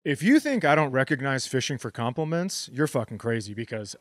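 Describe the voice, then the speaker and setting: Male comedy podcast co-host, medium pitch, casual and wry, very dry recording. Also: lively intonation, a little fast, dry recording.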